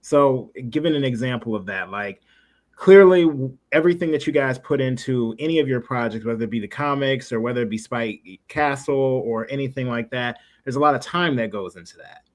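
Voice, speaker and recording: A man's voice: medium pitch, brisk. A man hosting a casual interview, rambling his way around to each question. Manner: rambling, circles around questions before landing them. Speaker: interview host.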